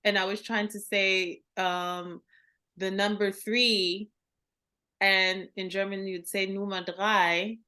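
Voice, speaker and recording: A woman in her thirties with a bright, crisp voice. She tells quick stories on a video call and acts out the lines of the people in them, laughing as she goes. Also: mid-range voice. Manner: animated storyteller, acts out quoted lines, laughs often. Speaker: interviewer. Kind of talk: casual video-call interview. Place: indoor room at home.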